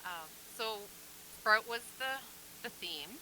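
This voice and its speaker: A woman's voice: on the high side, animated, takes it slowly, sharp tone, a little hiss or hum, rough recording. A woman guest quilt designer in a casual chat, talking in an easygoing, hesitant way with frequent ums.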